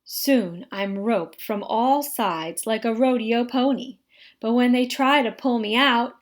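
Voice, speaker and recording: Slow, expressive woman reading a children's story. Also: fairly high pitch. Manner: expressive, dramatic delivery with drawn-out words. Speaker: story reader. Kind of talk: animated children's picture book read-aloud.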